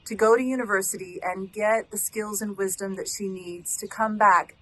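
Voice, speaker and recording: A woman with a medium-pitched voice reads a prepared message of support. Her delivery is formal and proud. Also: steady tone, normal speed, rough recording. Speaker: mentor giving a recorded message.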